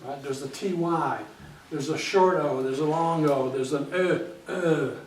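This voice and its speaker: A man's voice: a little high, unhurried, slight background noise. An older man born in Hungary, giving a chatty guest talk at a library and often checking in with "okay?". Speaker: guest speaker. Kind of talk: informal library talk with slides. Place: library meeting room. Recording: echoey room, speaker far from the mic.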